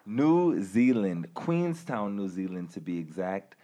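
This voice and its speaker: A man's voice: low voice, big pitch swings, takes it slowly, dark tone. A man co-hosting a podcast, introducing the guest in a formal, announcer-like way and asking her questions. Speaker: podcast co-host.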